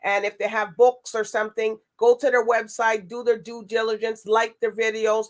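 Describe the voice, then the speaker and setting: A woman's voice: fairly high pitch, flat delivery, normal speed. A woman hosting a talk show in a casual, chatty and wordy way. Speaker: show host.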